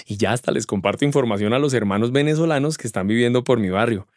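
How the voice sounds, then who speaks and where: A man's voice: mid-range voice, fast, darkish tone, no room sound. Colombian guy, slangy and curious, chatty neighbor asking stuff, dry close mic. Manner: folksy, slangy, inquisitive. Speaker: curious neighbor learning about migration. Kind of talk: scripted radio drama dialogue.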